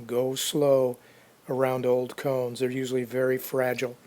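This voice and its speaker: A man casually narrating a hands-on restoration video, unhurried and halting as he thinks aloud. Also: mid-range voice, completely flat delivery, a little mellow. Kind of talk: casual narrated how-to commentary.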